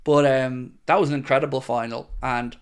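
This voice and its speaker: A man's voice: medium pitch, fairly even intonation, normal speed, clear, resonant tone. A young man hosting a live fan stream, reading out viewer questions and answering in a chatty, upbeat way. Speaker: host of a football fan channel.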